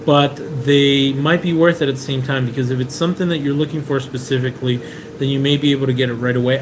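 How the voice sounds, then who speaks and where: A man from Venezuela hosting a live question-and-answer stream, chatting casually and reading out viewer comments with plenty of "um"s. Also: medium pitch. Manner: relaxed, chatty, many "um"s and restarts. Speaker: car importer hosting a live Q&A. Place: indoors in a rented apartment. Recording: clear room noise and AC hum.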